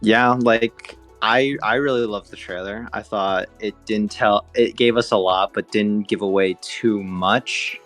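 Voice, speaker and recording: A man's voice: fairly low pitch, steady tone, unhurried, hardly any pauses, a bit bright, soft background music. A young man co-hosting a podcast, recounting his own experience in casual chat. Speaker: podcast co-host, video reviewer. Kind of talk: casual chat, personal anecdote.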